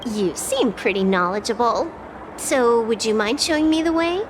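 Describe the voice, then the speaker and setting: A woman's voice: on the high side, animated, normal speed, noisy background, lightly acted. An actress playing a cool, dryly teasing young woman hacker in a video game. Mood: cool, amused. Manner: nonchalant, dry, sly. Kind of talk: video game character acting.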